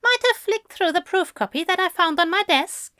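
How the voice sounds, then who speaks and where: A woman's voice: very high voice, expressive, moderate pace, bright, forceful tone, no room sound, clean studio sound, pitched above the performer's own voice, heavily acted. A woman voicing a prim male author with a smug, emphatic manner, as in an audiobook story. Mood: haughty retort.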